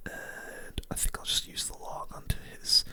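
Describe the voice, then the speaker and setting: A gaming host whispering in a breathy, hushed voice close to the microphone, giving casual ASMR commentary while thinking aloud through play. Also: normal speed.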